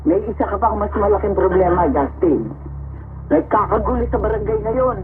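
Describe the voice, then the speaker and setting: A woman's voice: mid-range voice, expressive, normal speed, close to an everyday voice. An actress playing an anxious, weary middle-aged mother in a radio drama. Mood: worried. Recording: tinny phone-like sound, loud hiss.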